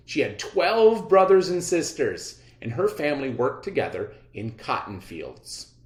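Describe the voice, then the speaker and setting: Echoey male teacher reading aloud, animated pitch. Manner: instructive, pauses to wonder aloud and question listeners. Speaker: elementary school teacher leading a reading lesson. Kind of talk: read-aloud lesson with think-aloud commentary. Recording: roomy, echoing sound.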